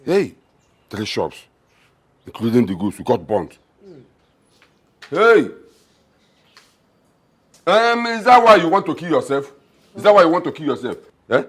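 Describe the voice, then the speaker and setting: A man's voice: on the high side, very animated, a little slow, long pauses, fairly bright tone, breathy edge, heavily acted. An actor playing a mocking, bossy father, sarcastic and stammering when worked up. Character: scornful middle-aged father, mocking, bossy.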